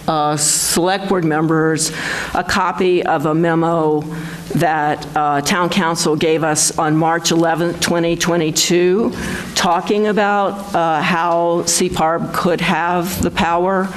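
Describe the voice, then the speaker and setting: A woman's voice: on the low side, slight background noise. A woman chairing a town board meeting, speaking hesitantly with many fillers. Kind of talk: public meeting discussion.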